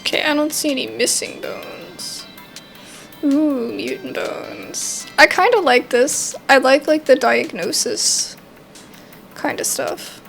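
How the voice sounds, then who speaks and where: A woman's voice: high-pitched, takes it slowly, gravelly, a bit bright, faint music behind. A woman doing casual let's-play commentary on a game, musing aloud as she puzzles things out. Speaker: gamer playing a horror visual novel demo.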